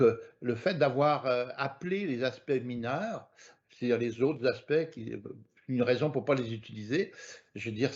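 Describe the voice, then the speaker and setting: A man's voice: mid-range voice, darkish tone. Hesitant Frenchman on a muffled call. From France. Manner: hesitant, repeats words often. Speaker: astrology teacher. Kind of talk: live online lesson.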